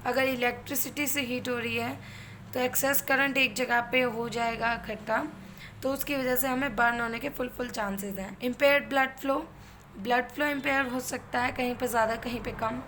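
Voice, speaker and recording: A woman's voice: on the high side, fairly even intonation, moderate pace, fairly bright tone, a little hiss or hum. A woman with an Indian accent giving an explanatory lecture as an instructor, going step by step and answering her own questions. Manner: step-by-step teaching, posing questions and answering them. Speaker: physiotherapy instructor. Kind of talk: explanatory lecture mixing Hindi and English.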